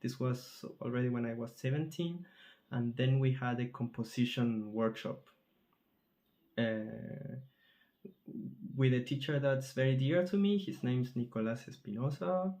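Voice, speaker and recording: A man from Chile, a composer answering interview questions thoughtfully, often pausing and searching for words. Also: medium pitch, mellow. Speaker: composer in residence.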